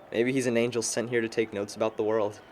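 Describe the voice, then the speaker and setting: A young man playing a bookish, low-key friend in an indie film, talking in a hesitant, understated way. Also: little pitch movement, normal speed, a little mellow, slight background noise, lightly acted. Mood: mild, curious. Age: young adult. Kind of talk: naturalistic amateur film dialogue.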